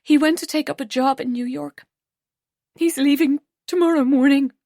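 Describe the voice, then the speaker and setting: A woman's voice: sits high, steady tone, moderate pace, mellow, no room sound, clean studio sound, pitched above the performer's own voice. A woman reading an audiobook, voicing a heartbroken young woman who turns tearful and bitter. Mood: subdued. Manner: tearful, pleading then cold and clipped.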